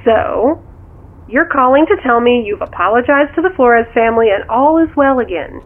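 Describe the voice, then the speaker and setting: Over a thin, tinny phone line comes a curious woman confidante in an audio drama. She is casual, dry and skeptical, gently chiding as she questions the other person. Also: a little high, animated, normal speed. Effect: telephone filter. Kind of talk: naturalistic audio drama dialogue.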